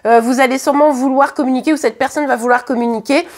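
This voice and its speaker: A woman with a French accent and a high voice reads tarot cards to camera in a room at home. Her voice is a little hoarse, and she talks in a loose, chatty way, often stopping to restart a thought or fill a pause. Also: steady tone. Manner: chatty, hesitant, many fillers and restarts. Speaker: tarot card reader. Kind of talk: casual tarot reading to camera. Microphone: camera at the reading table.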